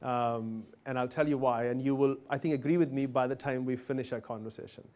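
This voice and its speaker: A man's voice: mid-range voice, steady tone, fairly quick, warm tone. Man giving a chatty keynote. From Pakistan. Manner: chatty, addresses the audience directly with questions. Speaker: company CEO giving a guest talk. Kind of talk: conversational keynote to students. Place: university event hall.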